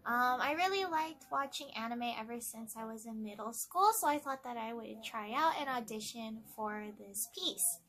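Young woman, early twenties, Californian accent, high bright voice, audition introduction. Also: lively intonation, normal speed, hardly any pauses. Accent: Californian American. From Los Angeles, California. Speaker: voice actor auditioning. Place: small cluttered room indoors.

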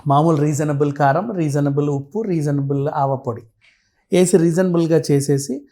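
A man's voice: fairly high pitch, moderate pace, warm tone. A man with a Telugu accent talking casually and explaining things through everyday examples and lists, mixing in English words.

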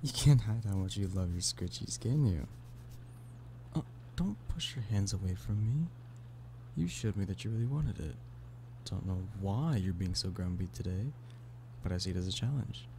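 Man acting as a low, creaky-voiced coaxing boyfriend. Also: normal speed. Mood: teasing, coaxing. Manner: teasing, pleading, intimate one-sided conversation. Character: playful, affectionate human boyfriend coaxing a stubborn cat-person. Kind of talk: ASMR boyfriend roleplay. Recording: steady background noise of rain. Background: rain.

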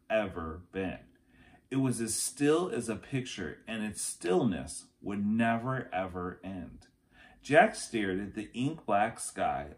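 A man, reading a children's book aloud as expressive narration. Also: on the low side. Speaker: read-aloud storyteller. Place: small furnished room at home, indoors.

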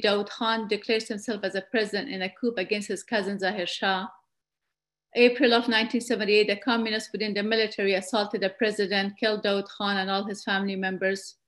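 A middle-aged woman from Afghanistan giving a slide presentation over a video call, earnest and measured, often restarting her sentences. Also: mid-range voice, fairly bright tone. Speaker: guest presenter. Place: indoor room at home. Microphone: webcam on a video call.

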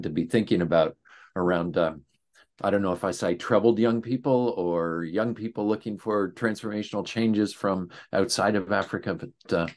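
A man's voice: sits low, a little mellow. A man in a small attic room introducing himself on a video call, telling how he came to the cause in a friendly, storytelling way. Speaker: family supporter and connector. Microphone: webcam on video call.

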